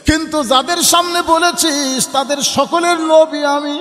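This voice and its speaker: A man's voice: fairly even intonation, normal speed, fairly bright tone. A man preaching an Islamic sermon as dramatic storytelling, acting out the dialogue with impassioned, theatrical delivery. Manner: impassioned, theatrical, swinging between cries and lower speech. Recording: echoey hall with long reverb.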